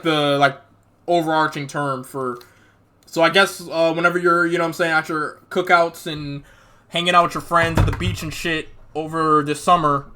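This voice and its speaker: A man's voice: fairly high pitch, sharp tone. A man rambling through casual reaction commentary, slangy and profane, reading from his phone at times. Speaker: streamer reacting to a show.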